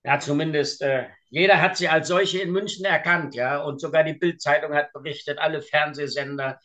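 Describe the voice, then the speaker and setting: Bright-voiced rambling older man. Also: fairly high pitch, fairly even intonation. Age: 70s. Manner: rambling, halting with many fillers. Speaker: co-host. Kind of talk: casual political chat. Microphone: video call webcam.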